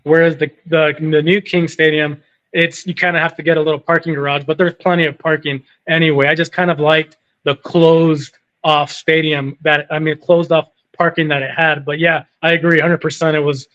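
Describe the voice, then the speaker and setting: Rambling caller on a muffled line. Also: male voice, on the high side, level tone, normal speed, a bit bright. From California. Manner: rambling, lots of fillers and restarts, says dude. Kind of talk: casual chat on a call-in show. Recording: muffled phone-in audio.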